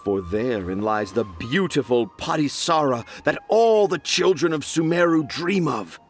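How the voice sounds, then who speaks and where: A man's voice: medium pitch, animated, unhurried, soft background music, close to an everyday voice. A man voicing a pompous, dreamy children's author in a video game, speaking in grand, flowery phrases. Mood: grandiose.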